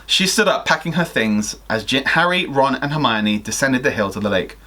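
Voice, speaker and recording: A man's voice: medium pitch, lively intonation, normal speed, sharp tone. A young adult man reading a story aloud in a theatrical way, acting out the dialogue. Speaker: guest reader of listener fan fiction. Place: small attic bedroom, indoors.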